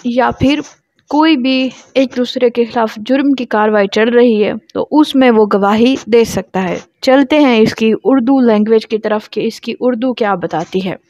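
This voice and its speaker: A woman's voice: fairly high pitch, moderate pace, a little mellow, no room sound, dull audio. A woman with a Pakistani accent giving an explanatory law lecture, reading aloud and explaining in a didactic way.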